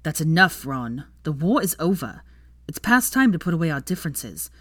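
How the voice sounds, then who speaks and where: A stern man in a story, standing up to an old friend, as read by a woman. The delivery is firm and forceful, recorded very dry. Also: fairly low pitch, expressive, fairly quick, no room sound, lightly acted. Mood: stern, rising anger. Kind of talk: dramatised fan fiction reading.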